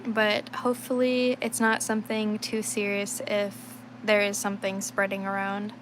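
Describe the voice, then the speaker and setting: A young woman talks through her drawing on a livestream, rambling and trailing off with lots of fillers. She is under the weather, so a slight rasp sits in her mid-range voice. A faint hiss of noise runs behind her. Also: mid-range voice, completely flat delivery, moderate pace. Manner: rambling, thinks aloud, many fillers. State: sick, a little raspy. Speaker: livestreaming digital artist. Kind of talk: casual chat while drawing on stream.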